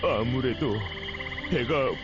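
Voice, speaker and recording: A man voicing an anxious, flustered ship captain with halting, old-fashioned formal speech in an anime-style game. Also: medium pitch, lightly acted. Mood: alarmed, grave. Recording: slightly dull audio with music. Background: background music.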